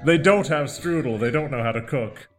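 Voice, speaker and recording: A man's voice: medium pitch, normal speed, music in the background, close to an everyday voice. A man playing a sly, chatty travel guide in a comic audio drama, glib and theatrical as he coaxes and deceives. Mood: gloating.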